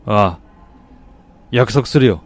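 A man acting in a radio drama as a driven businessman and husband, telling his life story in a measured, reflective way. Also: lowish voice, lively intonation. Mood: solemn. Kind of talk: radio drama monologue, literary narration. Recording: music bed behind the voice.

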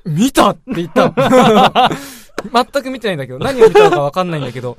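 A man co-hosting a casual radio show, telling anecdotes in an animated way and acting out what people said. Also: very high voice, fairly quick, a crowd in the background. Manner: animated storyteller, acting out quoted speech.

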